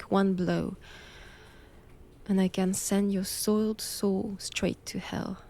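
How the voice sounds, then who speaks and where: A woman performs a stern nun who hunts vampires, in a dramatic roleplay audio. Her French accent shapes slow, deliberate sentences at a medium female pitch. She is formal, cold and commanding, sure of her own righteousness. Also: gravelly, a little mellow.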